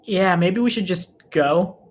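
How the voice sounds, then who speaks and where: A woman's voice: on the low side, a little slow. A woman playing a hesitant, evasive male sidekick with a sheepish, pleading manner.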